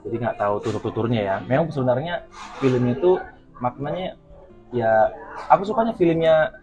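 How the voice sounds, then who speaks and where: Male host, easygoing review chat, mid pitch, lots of fillers, music clearly audible under the talk. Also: normal speed, muffled recording. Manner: relaxed, filler-heavy, asks agreeing questions. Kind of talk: casual film review chat.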